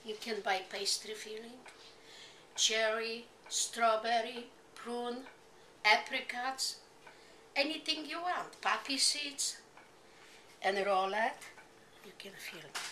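Older female home cook, Polish accent, high bright voice, halting English, informal recipe demo on a noisy amateur video. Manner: simple halting phrases in non-native English. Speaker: home cooking show host.

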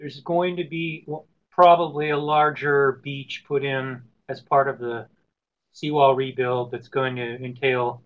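A man who is recovering from a cold and sounds hoarse, answering webinar questions with halting restarts and frequent throat clearing. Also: medium pitch, little pitch movement. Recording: muffled lo-fi web call audio.

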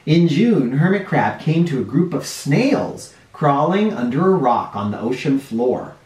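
A man in his forties reading a picture book aloud to children in an expressive, explanatory way. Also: fairly high pitch, unhurried. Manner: animated, explanatory, addressing young listeners. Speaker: children's storytime reader. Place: living room or playroom indoors. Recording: roomy home sound, distant mic.

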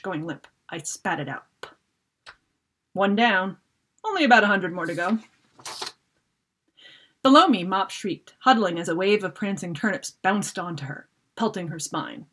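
A middle-aged woman author reading a children's fantasy story aloud in an expressive, dramatic way. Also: on the low side, husky. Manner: animated, dramatic reader. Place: book-lined room at home.

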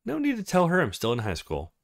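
A man's voice: fairly high pitch, huge pitch swings, fast, gravelly, voice pushed up, heavily acted. A man voicing an awkward, easygoing male student with a hesitant manner in a visual novel. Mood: wry.

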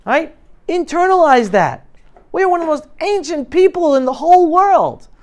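A man's voice: very high-pitched, moderate pace. Emphatic middle-aged New man speaking. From New York City, United States. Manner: emphatic, animated, swinging between loud and hushed. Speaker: guest speaker addressing high school students. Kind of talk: impassioned motivational talk to a youth audience. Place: classroom, indoors. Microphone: lapel mic.

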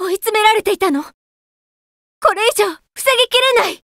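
A woman voicing a devout young female warrior in a fighting game, polite and earnest, with sharp battle cries. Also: very high-pitched, moderate pace, no room sound, clean studio sound. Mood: pleading. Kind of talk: game voice lines, battle shouts.

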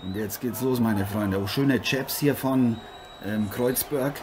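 A man's voice: medium pitch, unhurried, close to an everyday voice. A man playing a chummy, slightly grandiose celebrity co-commentator in an improvised boxing audio drama. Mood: friendly, engaged. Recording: noisy arena ambience behind voice.

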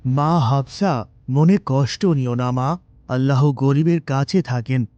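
A man voicing a humble, devout poor father in a moral cartoon, consoling and gently sorrowful. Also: mid-range voice, no echo, muffled recording, close to an everyday voice. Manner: slow, consoling.

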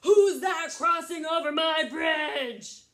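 A woman voicing a mean, greedy troll in a children's story, with booming, threatening delivery. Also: slow, a bit bright, full character voice. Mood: menacing. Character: mean, greedy, bullying big troll under a bridge. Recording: echoey room.

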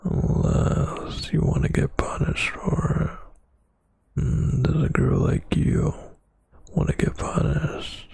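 A man performing intimate boyfriend ASMR as a huge, dominant, teasing roommate, in a breathy, gravelly half-whisper close to the mic. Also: on the high side, little pitch movement, a little mellow, no room sound. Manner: slow, teasing, dominant murmur. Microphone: close microphone.

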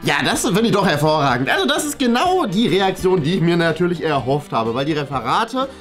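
Man acting as a wordy, hesitant schoolteacher with lively intonation. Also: fairly high pitch, brisk. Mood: tactful, amused. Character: talkative, diplomatic male schoolteacher gently airing complaints. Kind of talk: improvised comedy sketch acting. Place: small bright classroom-like office, indoors. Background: background music.

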